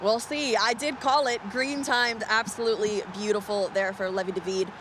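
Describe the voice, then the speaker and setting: A woman's voice: a little high, steady tone, normal speed. Upbeat, fluent female esports caster from the US, with a faint noise bed. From United States. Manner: fluent, upbeat, reads chat questions. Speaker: esports commentator. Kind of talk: live esports commentary. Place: event venue.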